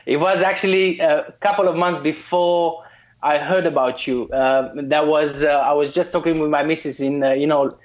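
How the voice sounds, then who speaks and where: A man's voice: fairly high pitch, fairly even intonation, moderate pace. A man from Romania, a guest in a casual interview, who talks hesitantly with many fillers and restarts. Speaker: guest, course student. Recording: muffled lo-fi call audio.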